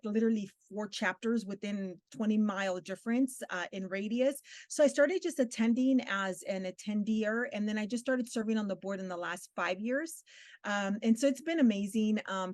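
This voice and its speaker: A woman's voice: medium pitch, dry recording. Earnest middle-aged woman, dry close sound. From Southern California. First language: Spanish. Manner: warm, earnest, laughs often, frequent fillers. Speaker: guest. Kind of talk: interview conversation.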